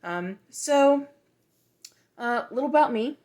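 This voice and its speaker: A woman of twenty-five, talking in a reflective, hesitant way with many pauses and restarts for a personal video journal. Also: a little high, expressive, a little mellow.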